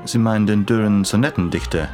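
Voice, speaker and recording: A courteous British secret agent in a radio drama, played by a man, with a fairly low voice. He is deferential and a little flustered, slipping English phrases into his talk. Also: a little fast, music in the background, natural voice. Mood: polite, surprised. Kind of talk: radio play acting.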